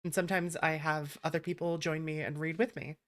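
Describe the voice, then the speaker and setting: A woman's voice: sits low, level tone, fairly quick. A woman hosting a gaming stream, chatting casually and reading text aloud.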